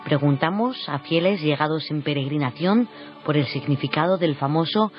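A woman's voice: low voice, moderate pace. A woman radio reporter delivering a news report as a voice-over. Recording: dull radio sound with faint music.

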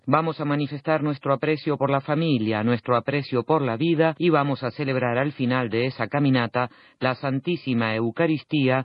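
A man reading a formal radio news report in an even manner. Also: medium pitch, no room sound. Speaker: news reader. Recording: dull, dry radio sound.

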